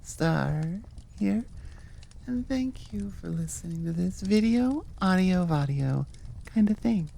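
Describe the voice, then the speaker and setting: Woman, casual outro chat, mellow tone, vocal fry, rambling, self-deprecating humor, faint background noise. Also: on the low side, expressive, takes it slowly. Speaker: audio roleplay creator and voice actor.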